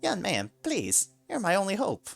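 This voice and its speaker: A man's voice: high voice, huge pitch swings, normal speed, gravelly, soft background music, pitched above the performer's own voice, exaggerated voice. A man voicing a sly talking mouse that pleads politely and later turns into a boastful griffin. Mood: pleading. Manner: pleading and overly polite, then gloating.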